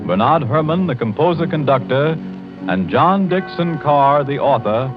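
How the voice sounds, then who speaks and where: A man's voice: on the high side, expressive, moderate pace. A man working as a radio announcer, delivering lines in a formal 1940s broadcast style. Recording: dull 1940s radio recording. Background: music.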